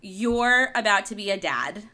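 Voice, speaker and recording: A woman's voice: medium pitch, animated, a little slow, pushed and bright. A young woman from Argentina co-hosting a podcast in casual chat, laughing often and tripping over her words. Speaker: podcast co-host.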